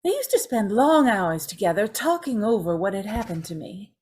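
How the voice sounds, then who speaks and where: An actress playing a frightened, gentle young woman, tormented and pleading, in old-time radio horror style. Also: mid-range voice, sing-song, moderate pace, lightly acted. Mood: sorrowful, confiding. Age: young adult. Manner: pleading, tremulous, dramatic.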